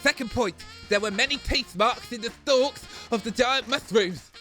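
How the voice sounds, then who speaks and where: A man's voice: extremely high pitch, moderate pace, sharp tone, soft background music. A man playing a self-important, argumentative environmentalist in a comedy audio sketch. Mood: pompous. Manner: pompous, argumentative.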